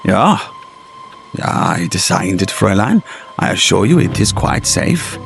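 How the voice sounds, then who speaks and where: A man playing a proud but troubled German professor and inventor in a gothic audio drama, formal and measured. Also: deep voice, very animated, gravelly, soft background music, exaggerated voice. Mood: reassuring.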